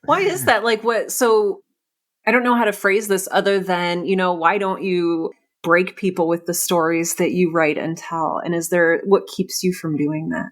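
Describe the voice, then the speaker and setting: A woman's voice: on the low side, fairly even intonation, moderate pace. A woman hosting a podcast interview in a conversational, enthusiastic and encouraging way. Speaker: podcast host interviewing a writer.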